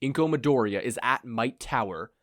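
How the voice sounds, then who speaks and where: A man's voice: mid-range voice, animated, normal speed, fairly bright tone, no room sound, lightly acted. A bold, defiant female superhero acted out by a man. Her lines are blunt and emphatic, delivered like a comic-book heroine. The recording is close and dry.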